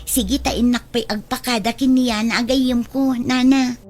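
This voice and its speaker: A pampered young Ilocano daughter in a radio drama , acted by a woman. She has a high voice and talks in a stubborn, scheming, chummy way. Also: steady tone, a little slow, a little mellow, soft background music, lightly acted. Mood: polite, probing. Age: young adult.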